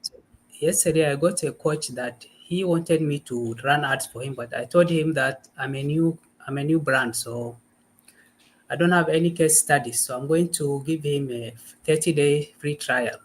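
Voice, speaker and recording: A man's voice: on the high side, normal speed, a little hiss or hum, low-quality recording. A man from Africa calling into a live stream, asking hesitant questions with many fillers.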